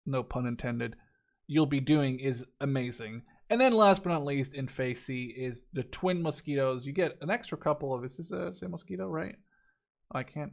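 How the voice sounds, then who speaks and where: A man in his twenties giving casual, enthusiastic and opinionated game review commentary, with some casual swearing. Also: on the high side. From Netherlands. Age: 20s. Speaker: gaming video creator.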